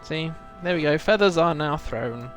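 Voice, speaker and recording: A man's voice: a little high, expressive, moderate pace, soft background music. A man chatting casually as he hosts a game livestream, rambling and thinking aloud to his chat while a bit under the weather with a cold. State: feels full of cold, often clearing throat.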